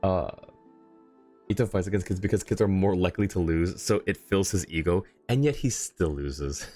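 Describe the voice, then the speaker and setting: Streamer guy, deep voice, chatting with viewers over game, faint music. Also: normal speed, warm tone. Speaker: game livestreamer. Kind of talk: casual livestream commentary, chatting with viewers.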